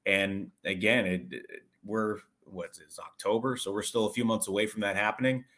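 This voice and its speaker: A man's voice: lowish voice, fairly even intonation, normal speed, fairly bright tone. A man working as a sports broadcaster, giving detailed conversational analysis as an interview guest in stop-and-start phrases.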